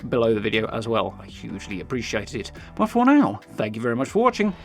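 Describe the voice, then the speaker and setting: A man's voice: medium pitch, huge pitch swings, quick pace. A man with a British accent hosting a gaming news video in a chatty, upbeat, informal way, part commentary and part reading aloud. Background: background music.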